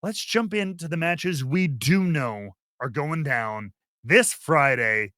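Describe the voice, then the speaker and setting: A man hosting a wrestling podcast, previewing events in a theatrical announcer style with drawn-out emphasis. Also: a little high, bright, forceful tone, dry recording, clean studio sound. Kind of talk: animated show introduction and wrestling preview.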